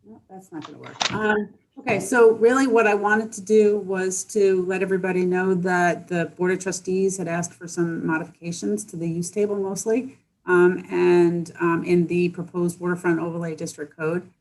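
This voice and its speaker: A woman giving an informal planning update to a board on a video call, halting and matter-of-fact. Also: on the low side, level tone, darkish tone, rough recording. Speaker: village planner briefing a board. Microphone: video call microphone.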